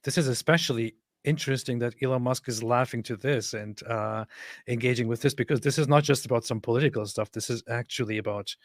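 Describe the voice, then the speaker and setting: A man's voice: medium pitch, fast, dry recording, studio quality. A man co-hosting a casual online commentary, reading posts aloud and commenting on them with voiced emphasis. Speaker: co-host reading and commenting on social media posts.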